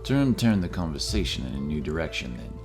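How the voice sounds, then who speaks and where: A man with a very deep voice narrates a fantasy story in a steady, even storytelling manner. Faint music runs underneath his voice. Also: fast talker. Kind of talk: scripted audiobook narration.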